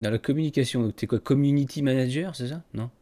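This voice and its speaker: A man's voice: mid-range voice, quick pace, warm tone. A man hosting a podcast in a casual, colloquial way. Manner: informal, colloquial. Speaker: podcast host.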